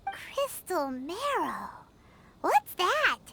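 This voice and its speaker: A woman voicing a tiny childlike companion creature in a video game, cute, curious and quick to get indignant. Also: very high voice, wildly swooping pitch, gravelly, noisy background, full character voice. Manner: cute, exclamatory, inquisitive. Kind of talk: video game cutscene acting.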